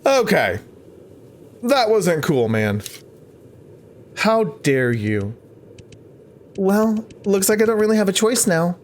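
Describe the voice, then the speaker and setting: A man hosting a casual let's-play, reacting out loud to the game and chatting to his viewers. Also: high voice, sing-song, slow, pauses often. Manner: reactive, interjecting, talking to viewers. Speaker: gaming YouTuber host. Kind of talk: casual let's-play commentary, reading game text aloud.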